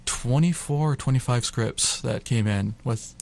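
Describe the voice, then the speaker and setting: Gravelly mid-pitched man chatting as guest. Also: unhurried, darkish tone. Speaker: radio theater group member, interview guest. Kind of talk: casual radio interview. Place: college radio station.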